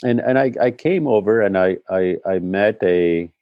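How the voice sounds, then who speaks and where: A middle-aged man from Spain, whose first language is Spanish, speaking in a relaxed interview and telling his story thoughtfully, often restarting his sentences. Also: low-pitched, moderate pace, no echo, lo-fi sound. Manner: reflective storytelling with frequent restarts. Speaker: head of school, guest. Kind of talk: conversational interview.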